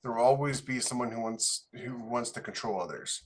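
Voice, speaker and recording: A man's voice: mid-range voice, normal speed, slight background noise. A man in a casual panel discussion, talking as a podcast co-host.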